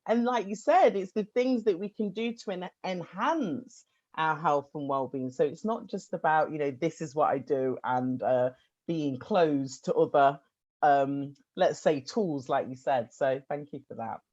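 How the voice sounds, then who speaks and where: Chatty low-voiced woman host. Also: unhurried, a little mellow, highs cut off. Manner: enthusiastic, chatty. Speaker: podcast host. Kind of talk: podcast interview.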